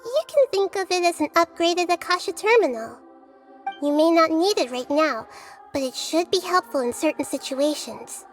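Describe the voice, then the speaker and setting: A woman voicing a gentle, wise young girl deity in a video game, speaking in a calm, measured, earnest and articulate way. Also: very high voice, faint music behind. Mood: helpful.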